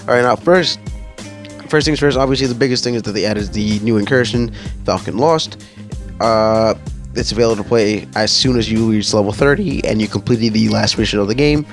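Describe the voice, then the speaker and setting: A man's voice: fairly low pitch, a little fast, faint music behind. A man hosting a gaming channel, casually reading through patch notes and rambling with many fillers and restarts.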